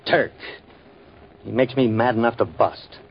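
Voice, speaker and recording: A man's voice: medium pitch, a little slow, creaky. An actor playing a grumpy, greedy everyman in a 1940s radio play, with blustering, demanding speech. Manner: blustering and demanding, then drowsy. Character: grumpy, greedy middle-aged everyman dreaming of pie. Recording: muffled old radio recording, faint hiss.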